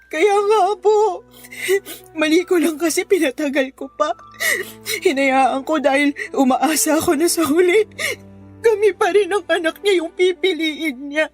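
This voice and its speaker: A woman's voice: extremely high pitch, unhurried, soft background music. A woman playing a wronged, submissive young mother in a radio drama, respectful and pleading, with a Filipino accent. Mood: hurt, tearful.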